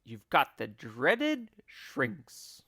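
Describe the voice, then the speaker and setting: A man voicing a gleefully cruel, scheming husband in a storybook, taunting with emphatic, drawn-out words. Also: a little high, huge pitch swings, vocal fry, sharp tone, husky, no echo, clean studio sound, pitched above the performer's own voice, heavily acted. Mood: mocking, loud.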